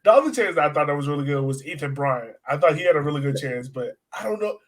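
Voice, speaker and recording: Man, slightly high voice, casual soccer podcast co-host, animated storyteller, conversational match analysis. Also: fast.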